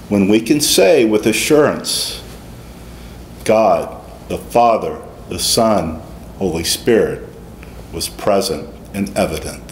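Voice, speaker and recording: A middle-aged man, a deacon, preaching a homily in a conversational way with folksy asides, in a small stone-walled church. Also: on the low side. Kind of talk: sermon. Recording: echoey church with faint room noise.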